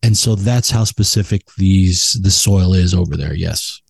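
A man's voice: low-pitched, measured pace, vocal fry, husky, no echo. A man speaking as an expert guest in a casual chat, emphatic and opinionated about his craft. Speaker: expert podcast guest, cigar blender.

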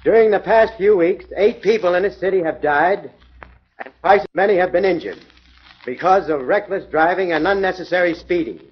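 Stern husky inspector, commanding, 1940s radio play, dull lo-fi sound. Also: male voice, high-pitched, moderate pace. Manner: commanding, addresses detectives. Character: stern middle-aged male police inspector.

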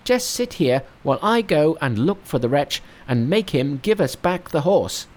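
A man voicing a brash, boastful, hot-tempered monkey king in a storybook reading. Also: normal speed. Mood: angry. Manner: shrill, excitable, boastful.

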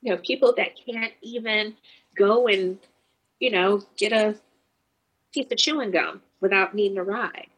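A woman's voice: medium pitch, unhurried, fairly bright tone, poor audio. A woman who counsels grieving teens, giving a thoughtful, searching interview answer with restarts and fillers.

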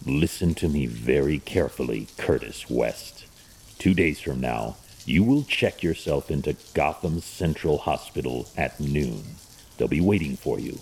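Extremely low male voice, gravelly, stern brooding vigilante, clipped commands, audio drama, long reverb. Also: animated, a little slow, darkish tone, a little hiss or hum, full character voice. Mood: menacing. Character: brooding, stern adult male masked vigilante and wealthy guardian. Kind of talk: fan audio drama acting.

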